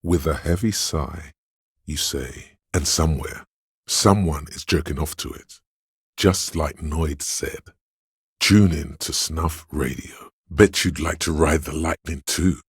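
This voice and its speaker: Sleazy, ultra-deep gravelly tempter. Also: male voice, no room sound, clean studio sound, heavily acted. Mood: seedy, coaxing. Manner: insinuating, theatrical, savoring words. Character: sleazy, hedonistic inner voice craving drugs and thrills. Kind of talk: video game character voice lines.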